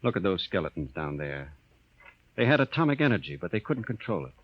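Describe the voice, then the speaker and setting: An old, dull-sounding radio recording carries a grave space explorer with a very low voice. He broods and delivers his lines in the deliberate, dramatic manner of 1950s radio. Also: sing-song, husky, no echo, exaggerated voice. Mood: urgent, explaining. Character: grave, brooding male space explorer explaining a dead alien race. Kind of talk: 1950 radio drama acting.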